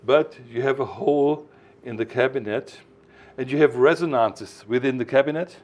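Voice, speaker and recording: Man giving an emphatic, halting technical explanation. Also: mid-range voice, a little mellow, dull audio. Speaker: loudspeaker designer explaining his products.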